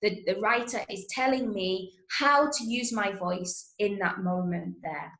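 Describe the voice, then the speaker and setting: A woman's voice: medium pitch, unhurried, fairly bright tone. A woman from Manchester with an English accent, teaching an interactive drama workshop online in an encouraging manner. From Manchester, England. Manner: encouraging, praising students' answers. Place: indoors, on a video call. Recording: roomy, echoey sound.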